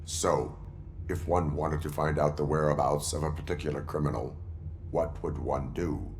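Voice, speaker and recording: An actor playing a stoic cyborg policeman in a video game, with deadpan, clipped, formal speech. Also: extremely low pitch, moderate pace, slight background noise. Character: stoic cyborg policeman, grown man turned machine.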